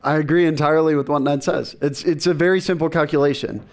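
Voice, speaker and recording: Young male co-founder, calm stepwise reasoning, voice a little high. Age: young adult. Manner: measured, reasoning step by step. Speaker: startup co-founder on a panel. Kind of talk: panel discussion answers. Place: conference stage before an audience.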